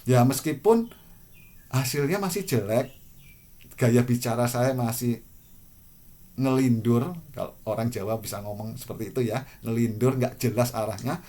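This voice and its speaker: A man in his forties from Indonesia, speaking to camera in a measured, earnest and reflective personal monologue. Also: medium pitch, dark tone. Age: 40s. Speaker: vlogger.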